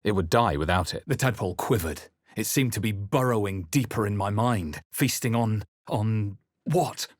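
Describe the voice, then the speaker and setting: A brooding adventurer played by a man narrates a dark fantasy game in a very low, husky voice. The delivery is measured and grave, with dramatic pauses, recorded dry and close. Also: no room sound, close to an everyday voice. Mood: solemn, suspenseful. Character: brooding male adventurer narrating his own dark memories. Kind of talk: solemn first-person narration in a fantasy video game.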